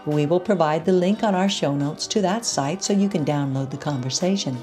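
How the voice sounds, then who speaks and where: A woman hosting a podcast, reading a scripted case report and introduction in a measured, earnest way. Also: sits low, warm tone, faint music behind. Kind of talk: scripted narration.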